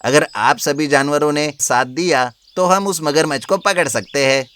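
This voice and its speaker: A man's voice: fairly high pitch, moderate pace, fairly bright tone, smooth, natural voice. A man voicing a clever, bold forest animal, such as a monkey, in an animal fable, with an urging delivery. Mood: urgent.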